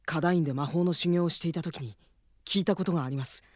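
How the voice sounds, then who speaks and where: A man playing a polite, studious young mage in a radio drama, explaining things gravely and carefully with respect. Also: a little high, fast talker, a little mellow, no room sound, studio quality, lightly acted.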